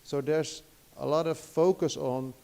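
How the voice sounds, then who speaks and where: A man's voice: a little high, fairly even intonation, measured pace, gravelly, dark tone, slight background noise. A middle-aged Dutch man with a Dutch accent giving an academic lecture in English, hesitant, with many restarts and fillers. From Netherlands. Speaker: guest lecturer.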